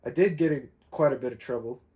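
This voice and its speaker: A man's voice: mid-range voice, fast talker. A man in his twenties co-hosting a podcast, talking casually and rambling with lots of fillers. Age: 20s. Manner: relaxed, rambling, many fillers. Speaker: podcast co-host. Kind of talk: casual conversation.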